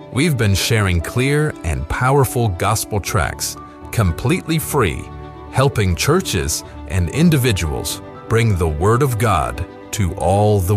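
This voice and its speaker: A man introducing a gospel radio program in a slow, deliberate announcer delivery. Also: lowish voice. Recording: announcement over music bed.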